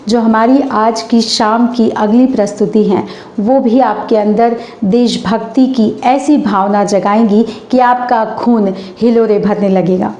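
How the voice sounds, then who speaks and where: A hoarse woman hosts her channel and recites a stage anchoring script in a formal, declamatory way, slowing down for poetic couplets. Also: fairly high pitch, a little mellow, echoey room.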